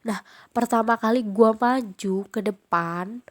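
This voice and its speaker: A woman's voice: on the high side, takes it slowly. A young woman with a Jakarta slang accent, nasal from a cold, chatting casually on her own podcast with frequent fillers. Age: young adult. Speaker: podcast host.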